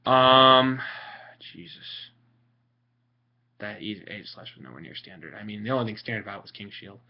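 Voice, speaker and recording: A young man from Italy talking casually and sarcastically about game strategy, rambling with fillers. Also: normal speed. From Italian. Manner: sarcastic, mocking, frequent fillers. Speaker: vlogger. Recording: muffled low-fi home recording.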